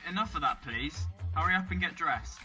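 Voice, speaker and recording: A man's voice: a little high, expressive, normal speed, sharp tone, faint music behind. A man playing a cocky, teasing young gang leader in an audio drama. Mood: brisk, cocky.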